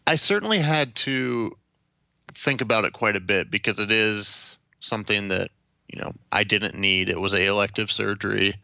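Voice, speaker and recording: Low, gravelly young American man. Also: pushed and bright, no room sound, dull audio. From Ohio, United States. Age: late 20s. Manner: measured, matter-of-fact. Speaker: interview guest. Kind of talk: recounting personal experience in an interview.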